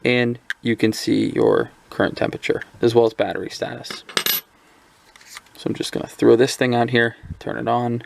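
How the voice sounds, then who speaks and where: A man's voice: medium pitch, little pitch movement, normal speed, vocal fry, a little hiss or hum. A man giving a casual hands-on review of a handheld radio, talking loosely with lots of ums and restarts. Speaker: product reviewer.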